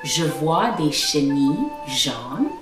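A woman in her thirties reading a storybook aloud to young children as a teacher, with expressive emphasis and explanations. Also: low-pitched, slow pace, recorded from a distance, over music. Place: small living room at home, indoors. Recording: roomy echo, away from the mic.

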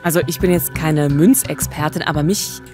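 A woman's voice: sits low, fairly even intonation, normal speed, over music. A woman in her thirties presenting a true-crime podcast, moving between scripted narration and studio talk. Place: small radio studio. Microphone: close studio microphone with pop filter.

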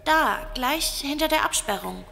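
A woman playing a curt, dismissive stranger who speaks slowly and dryly. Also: sits high, expressive, creaky, sharp tone, long reverb tail, soft background music, exaggerated voice. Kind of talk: audio drama acting.

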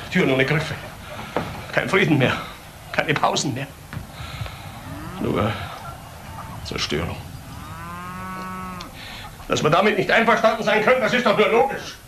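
An actor playing a bitter, defiant middle-aged father in a radio crime drama, speaking in emphatic broken phrases with pauses. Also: fairly high pitch, very animated, background noise. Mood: bitter, despairing. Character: bitter, defiant father of grown sons under suspicion.

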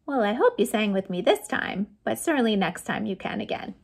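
An American woman in her forties hosting a read-aloud storytime for young children, in an animated, sing-song way with playful sound effects. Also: medium pitch, a little fast, creaky, husky. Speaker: children's librarian, storytime host. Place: small lamp-lit room at home.

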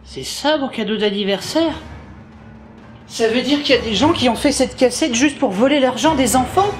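Man doing a high, whiny, naive kid. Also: moderate pace. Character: naive indignant childlike kid with teddy bear. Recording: music behind the voice.

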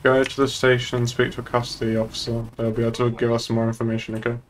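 A man's voice: mid-range voice, little pitch movement, racing. A young man improvising police roleplay while streaming a game. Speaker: streamer playing a police officer. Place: indoor home streaming setup. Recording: faint noise behind the voice.

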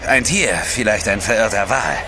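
Doom-saying polar traveller played by a man, dismissive, fairly low pitch, radio-play style over background noise. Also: normal speed, close to an everyday voice. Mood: matter-of-fact. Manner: pessimistic, blunt. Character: gloomy, dismissive sceptic on a polar trek. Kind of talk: audio drama acting. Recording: clear outdoor background noise.

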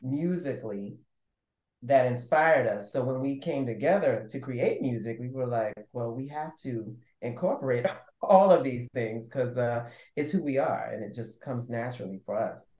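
A man's voice: mid-range voice, lively intonation, a little mellow, a little hiss or hum. Thoughtful man in a roomy space. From New York and Maryland. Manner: thoughtful, measured, trailing off at times. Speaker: musician guest. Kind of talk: casual interview. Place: indoor room with patterned wall. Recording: roomy echo.

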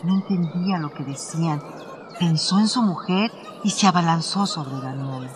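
A man's voice: a little high, moderate pace, background noise. A man reading scripted, literary narration for a radio drama. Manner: literary storytelling. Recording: music under the narration.

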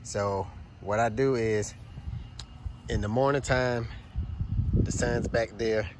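A man's voice: medium pitch, steady tone, slow pace, slight background noise. A middle-aged man, walking through his backyard and explaining his chicken care step by step, recorded on a handheld phone. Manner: plain, friendly, step-by-step explaining to viewers. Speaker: homesteading vlogger. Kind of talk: casual walkthrough demonstration. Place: outdoors in a backyard garden. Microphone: handheld phone camera.

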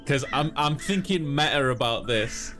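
A man's voice: on the high side, expressive, a little slow, bright, forceful tone, faint music behind. A young man streaming a game, chatting casually and informally as he muses aloud into a close mic. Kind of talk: casual chat, let's play commentary.

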